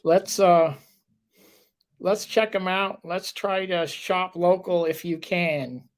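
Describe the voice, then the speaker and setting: A man's voice: high-pitched, fairly even intonation, takes it slowly. A man hosting a local talk show, chatting casually with a guest and rambling with lots of fillers.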